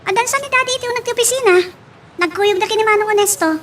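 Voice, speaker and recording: A woman's voice: very high voice, racing, fairly bright tone. A woman playing the boss's spoiled, snobbish young daughter in an Ilocano audio drama, pouty and exclaiming. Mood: questioning. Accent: Ilocano Filipino. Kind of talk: amateur audio drama dialogue. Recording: slightly distant, faint background noise.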